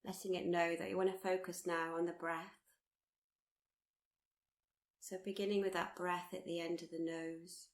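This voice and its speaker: A woman leads a guided meditation in a slightly low voice. Her intonation stays very flat and steady. She is soothing and unhurried, gently encouraging the listener and circling back over her phrases. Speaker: meditation teacher leading a body scan. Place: live workshop.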